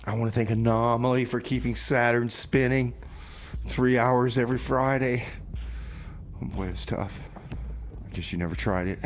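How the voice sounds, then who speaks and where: A man presents a community radio music show in a mid-range voice. His delivery is casual and a little halting, with repeated words and comic banter, over some background noise. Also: medium pitch, fairly even intonation.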